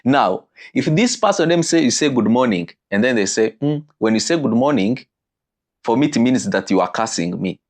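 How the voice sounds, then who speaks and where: A man giving an animated teaching talk on marriage, his voice jumping in pitch and slowing down for emphasis. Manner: animated, with sudden pitch leaps and slowed emphatic phrases.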